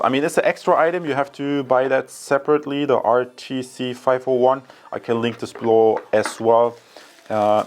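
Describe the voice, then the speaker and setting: A man's voice: fairly low pitch, darkish tone. A man from Germany with a German accent, presenting a casual product review in an informal, chatty way. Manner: informal, chatty, addresses viewers as guys. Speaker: product reviewer and channel host.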